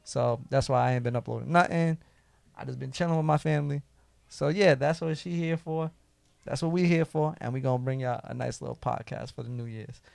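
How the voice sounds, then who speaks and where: A man hosting a casual podcast, hoarse from losing his voice and talking in a laid-back, slangy way. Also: medium pitch, fairly even intonation, a little fast, a little mellow, no echo. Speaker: podcast host. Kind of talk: casual chat.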